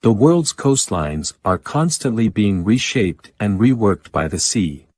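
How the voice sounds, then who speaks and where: A man's voice: lowish voice, big pitch swings, unhurried, darkish tone, no room sound, studio quality. A man reading an informational passage aloud as scripted narration for exam practice.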